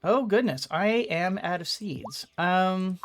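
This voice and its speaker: Woman, gaming commentary, gentle, thinking aloud, slightly gunky throat, faint room noise. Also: on the low side, animated, slow pace, faint music behind. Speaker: gaming YouTuber. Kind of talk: let's-play commentary.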